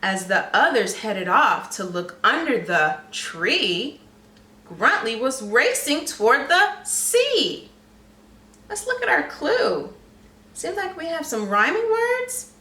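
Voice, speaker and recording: Female early childhood read-aloud teacher: high, animated, expressive and questioning, echoey room. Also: slow. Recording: roomy, echoey, slightly distant microphone.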